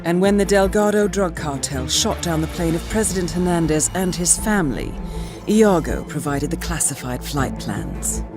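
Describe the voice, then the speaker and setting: An actress playing a poised intelligence handler, giving measured, formal mission briefings in a video game. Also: female voice, sits low. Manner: measured, formal, suave, deliberate. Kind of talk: scripted mission briefing narration.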